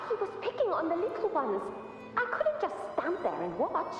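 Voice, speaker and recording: A woman's voice: a little high, lo-fi sound. A woman voicing a spirited, youthful village dreamer in a video game, with an echoey, distant sound. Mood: playful. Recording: echoey, distant, long reverb.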